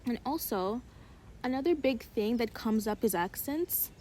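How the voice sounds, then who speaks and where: A woman's voice: fairly high pitch, expressive, a little slow, mellow, slight background noise. A young woman, in her teens, with an American accent, reflective and casual, co-hosting a podcast chat with many filler likes. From Uganda. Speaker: podcast co-host.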